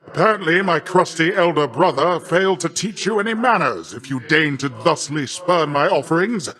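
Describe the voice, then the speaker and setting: Boastful old sea god uncle, video game style, theatrical and grand, voice a bit on the high side for a man. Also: expressive, normal speed, bright, forceful tone. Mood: offended, stern. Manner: pompous, theatrical, grandly old-fashioned wording. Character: boisterous, boastful sea god, an older uncle, pompous yet affectionate. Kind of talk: video game character voice acting.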